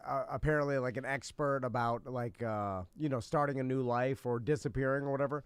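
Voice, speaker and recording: A man co-hosting a talk show in casual radio banter, telling things in a rambling, halting way. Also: mid-range voice, fairly even intonation, clean tone, no echo.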